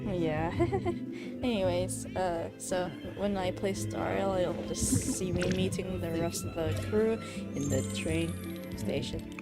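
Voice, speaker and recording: A woman's voice: fairly low pitch, wildly swooping pitch, slow pace, loud music behind the voice. A woman co-hosting a game livestream, chatting casually with frequent giggles. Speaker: game streamer, co-host.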